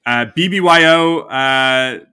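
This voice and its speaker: A man's voice: mid-range voice, slow, bright, forceful tone, smooth. A man co-hosting a podcast, talking about football in a loose, rambling way with lots of fillers. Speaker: podcast co-host. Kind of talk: casual sports chat.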